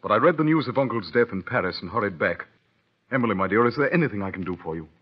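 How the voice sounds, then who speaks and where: A brusque, earnest captain in an old radio drama. His voice is fairly low and rough, with lively swings, on a muffled recording. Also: quick pace. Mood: anxious, ardent. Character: earnest, protective army captain, brusque and ardent. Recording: dull, band-limited old radio recording.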